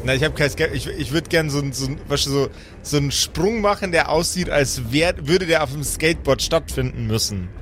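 A man's voice: a little high, lively intonation, fairly quick, fairly bright tone, slight background noise. A man chatting casually and slangily as a player in an improvised tabletop game, often joking.

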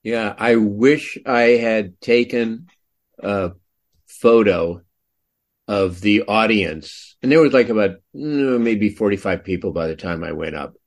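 A man's voice: on the low side, slow. A man hosting a podcast, chatting casually and telling a story with incredulous asides. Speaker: podcast host. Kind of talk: casual interview chat.

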